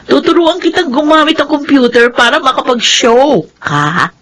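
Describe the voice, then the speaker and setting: A woman's voice: sits high, expressive, normal speed, a bit bright. A woman playing a worldly, brash young friend in a Filipino radio drama, with a frank and pushy way of talking. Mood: coaxing, brash.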